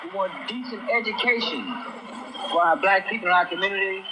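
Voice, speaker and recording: A man's voice: high voice, brisk. A man in an archive clip giving an impassioned, declamatory account. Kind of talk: recalled storytelling speech. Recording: noisy distant archive playback.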